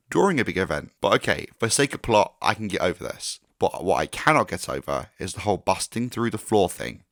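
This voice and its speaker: Low, hoarse male reviewer, blunt and opinionated, dry close sound. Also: fairly bright tone, no echo. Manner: frank and critical, mild swearing. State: hoarse, recovering from a sore throat.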